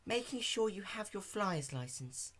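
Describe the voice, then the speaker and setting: Man doing a soft, high, timid pony girl. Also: very animated, measured pace, exaggerated voice. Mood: timid, polite. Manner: soft, hesitant, polite. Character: timid, gentle, polite female cartoon pegasus pony.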